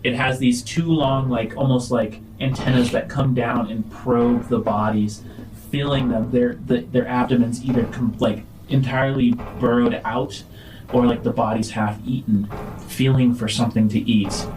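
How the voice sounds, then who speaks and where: A man acting as game master, narrating a tabletop roleplaying game in a halting, improvised way. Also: medium pitch, steady tone, background noise. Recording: music under the voice.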